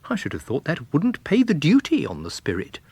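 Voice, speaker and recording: A man's voice: fairly high pitch, huge pitch swings, brisk, a little mellow, breathy edge, dry recording, voice pushed up, heavily acted. A man voicing a genial, courteous salesman and amateur sleuth in a story reading, mild and inquisitive. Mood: polite curiosity.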